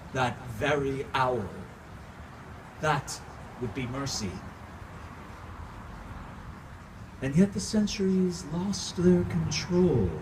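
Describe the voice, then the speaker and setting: A man with a Californian accent performing a dramatic monologue as a grieving, embittered centuries-old vampire count, theatrical and declamatory in archaic poetic phrasing. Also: on the high side. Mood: mournful, weary. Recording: distant live room recording, faint noise.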